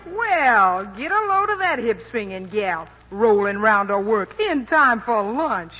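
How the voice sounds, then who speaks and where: A shrill, catty factory worker. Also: female voice, sing-song, takes it slowly, pushed and bright, faint music behind, full character voice. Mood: catty, indignant. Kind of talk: 1940s radio acting. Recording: dull old radio recording, hall reverb.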